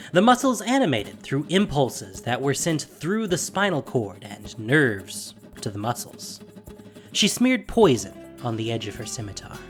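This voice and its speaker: A man narrating a scripted fantasy audio drama with measured delivery and dramatic pauses. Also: medium pitch, fairly bright tone. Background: faint music.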